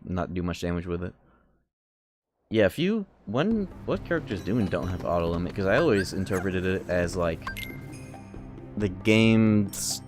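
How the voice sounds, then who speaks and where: A man's voice: deep voice, darkish tone. A man giving casual, rambling live commentary while he plays a game, thinking aloud and swearing freely. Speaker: game livestreamer. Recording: music behind the voice. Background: game music.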